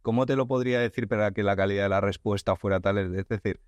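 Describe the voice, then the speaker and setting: A man's voice: lowish voice, fairly even intonation, fast, no room sound, lo-fi sound. A man hosting a podcast interview, thinking aloud with frequent restarts and repeated words. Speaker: podcast host. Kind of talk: conversational interview.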